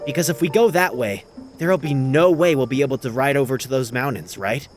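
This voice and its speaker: A man playing an anxious, questioning young horse racer in a dramatic anime fandub, excitable and incredulous in manner. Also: medium pitch, fairly quick, faint music behind, natural voice. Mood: cautious. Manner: excitable, incredulous, swinging from muttering to shouting.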